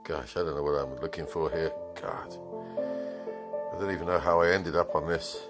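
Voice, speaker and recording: A man's voice: rumbling low, fairly even intonation, normal speed, over loud music. A man giving an informal, unscripted demonstration as a repair technician, with wry muttered asides. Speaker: radio repair technician demonstrating a converted car radio. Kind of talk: casual unscripted product demonstration.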